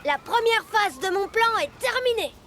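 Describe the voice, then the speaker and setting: Cartoon elf boy: very high childlike voice, plucky and self-assured, music clearly behind. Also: expressive, moderate pace. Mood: confident. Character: confident, boyish, cheerful young elf boy. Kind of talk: French-language cartoon dubbing.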